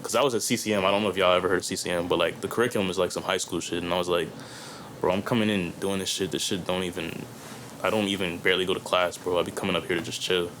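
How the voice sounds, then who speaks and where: A man's voice: low-pitched, fairly even intonation, rapid-fire, a bit bright, a little hiss or hum, poor audio. A young man, a guest rapper on a podcast, talking in a loose, rambling way full of slang and fillers. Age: young adult. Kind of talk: casual chat.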